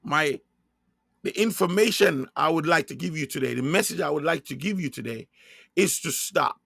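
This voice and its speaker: A man from Jamaica with a Jamaican accent, preaching in a slow sermon-style monologue and hammering his points home by repeating and spelling out key words. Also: on the high side, lively intonation, gravelly, fairly bright tone, breathy edge, dry recording, clean studio sound.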